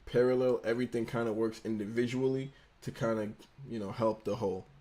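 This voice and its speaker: A man's voice: mid-range voice, steady tone, brisk, mellow. Laid-back young man with a slight lisp. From United States. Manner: laid-back, slangy, stumbles and rereads lines. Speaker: livestream host studying engineering. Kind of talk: casual livestream, reading a textbook aloud.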